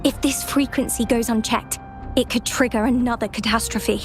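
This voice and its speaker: A woman's voice: on the high side, fairly even intonation, normal speed, close to an everyday voice. A woman voicing an earnest young researcher. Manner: earnest, polite, explanatory. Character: earnest young female field researcher, worried. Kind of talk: video game cutscene voice acting. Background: game music.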